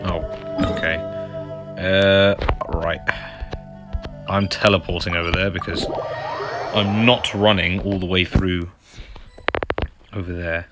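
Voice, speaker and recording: A man comments casually on the game being played. His voice is extremely low, and game music is clearly audible behind him. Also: flat delivery, slow, pauses often. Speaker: gamer doing a playthrough.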